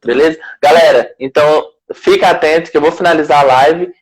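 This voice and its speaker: A man hosts a relaxed live interview in a friendly way, laughing easily. His voice sits a little high for a man. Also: fairly quick, husky, poor audio. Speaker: live-stream host.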